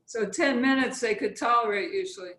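A woman's voice: on the high side, fairly quick, fairly bright tone. An American woman talking casually as a guest playwright about her own writing, in conversation. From California, United States. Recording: roomy, echoing sound.

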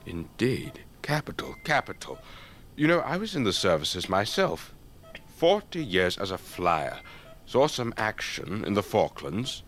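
An actor playing an elderly English retired air force officer in a radio drama, avuncular and chatty, with old-fashioned clipped politeness. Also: male voice, lowish voice, very animated, unhurried, a bit bright. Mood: solicitous, genial. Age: older.

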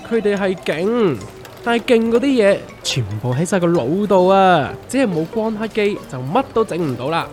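A man as a lively, wry Hong Kong investor pal. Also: sits high, moderate pace. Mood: wry explaining. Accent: Hong Kong Cantonese. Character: wry, well-informed, teasing investor friend. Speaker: voice actor in a radio drama. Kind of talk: scripted comedic dialogue. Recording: music under the voice.

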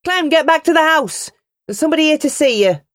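A woman voicing a proud, sharp-tongued farm mother in her thirties in a storybook. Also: high voice, animated, a little fast, no room sound, clean studio sound, pitched above the performer's own voice. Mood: urgent.

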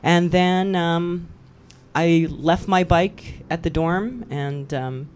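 A woman's voice: lowish voice, slow, a little mellow, slight background noise. Chatty woman from Hawaii. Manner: chatty, laughs easily. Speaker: guest, cycling event promoter. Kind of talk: casual radio chat.

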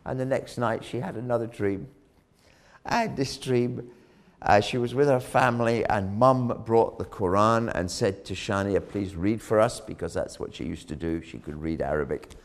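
A man preaching a sermon, telling a story dramatically, rising loud on key words and dropping low again. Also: moderate pace. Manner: dramatic, swells loud on key phrases then drops low.

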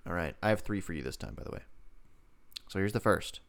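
A man with a very low, clean voice chats casually as a podcast co-host. He teases playfully and likes to drop into mock quotes. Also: expressive, brisk. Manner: playful, teasing, slips into mock quotes and sing-song. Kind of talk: casual banter on a review podcast.

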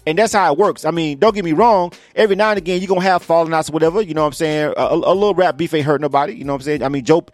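A man hosting a radio interview, talking fast and animated with slang and frequent laughs. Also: a little high, no echo, clean studio sound. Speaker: radio show host. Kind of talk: casual radio interview chat.

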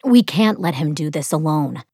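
A woman's voice: low voice, lively intonation, moderate pace, mellow, husky, no room sound, studio quality. Woman playing an insistent, coaxing brother. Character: Asher, insistent, coaxing brother, a family man.

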